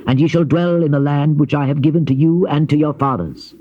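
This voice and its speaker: An actor playing a grave Old Testament prophet in a dramatized scripture reading, declaiming in measured phrases. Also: male voice, on the high side, fairly even intonation, mellow, close to an everyday voice. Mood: stern, solemn. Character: solemn male Old Testament prophet recounting God's warnings. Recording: dull noisy old cassette tape.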